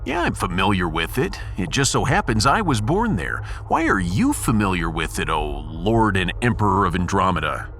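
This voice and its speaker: Sci-fi ship captain, male actor: sardonic, blunt, low-pitched, lively intonation. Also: normal speed, few pauses, faint music behind, heavily acted. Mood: wry. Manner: wry, theatrical, irreverent. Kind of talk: sci-fi audiobook drama acting.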